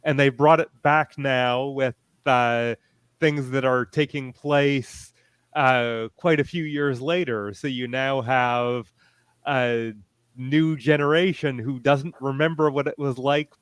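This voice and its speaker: A smooth, clear-voiced man speaks as a fan panelist in casual discussion. He explains carefully and haltingly, pausing to find words, and the sound is very dry. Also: medium pitch, fairly even intonation, no room sound.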